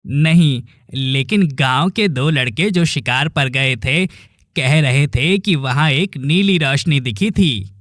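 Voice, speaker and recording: Casting: male actor for bold teasing young villager, cartoon drama, lightly raised pitch, close dry sound. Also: takes it slowly, fairly bright tone, no echo. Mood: mysterious, grave. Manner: familiar, teasing, emphatic.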